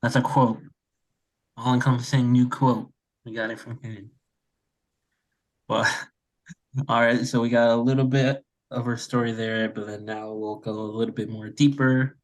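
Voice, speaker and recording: A man's voice: mid-range voice, flat delivery, a little slow, pauses often, low-quality recording. A man hosting a podcast, casually laying out questions for his guest with loose, rambling phrasing. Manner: loose and rambling, many fillers. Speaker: podcast host.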